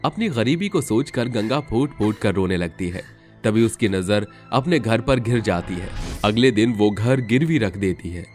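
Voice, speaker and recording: Male narrator, low pitch, scripted dramatic storytelling, background music. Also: animated, measured pace, a little mellow. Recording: music under the voice.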